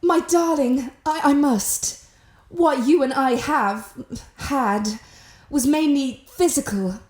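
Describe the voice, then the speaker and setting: A man voicing a dramatic, lovestruck young witch in a breathy falsetto, in a comic reading. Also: slow, echoey room.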